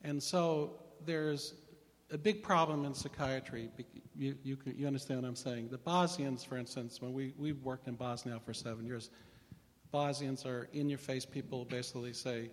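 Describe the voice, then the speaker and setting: A man's voice: mid-range voice, steady tone, moderate pace. A male psychiatrist makes his case on a panel, emphatic and lecturing as he presses a challenge. His voice sits in a mid range. A long reverb trails from the hall. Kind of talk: panel discussion. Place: large hall with an audience.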